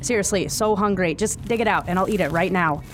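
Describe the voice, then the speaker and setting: A woman's voice: medium pitch, fast talker, over music, natural voice. A woman voicing a dry, sardonic young woman in an audio drama. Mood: wry.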